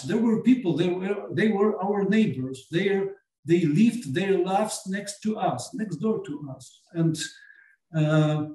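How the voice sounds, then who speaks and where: Polish guy on a film panel, slow hesitant english, lots of ums, kinda higher voice, roomy echo. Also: mellow. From Poland. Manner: earnest, searching for words with many fillers. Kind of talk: panel conversation. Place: small meeting room with sofas. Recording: echoey room, voice far from mic.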